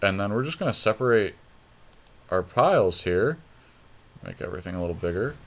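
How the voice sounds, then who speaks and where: A man's voice: fairly low pitch, lively intonation, a little fast. A man talking casually and thinking aloud as he commentates on a card game draft in colloquial speech. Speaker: podcast host, card game player.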